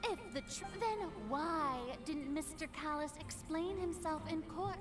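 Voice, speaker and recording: A woman's voice: sits high, a little slow. An actress playing a self-important young woman questioning a case in a video game, formal and theatrical. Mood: formal, inquisitive. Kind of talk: dramatic anime-style video game acting. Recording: game music behind the voice.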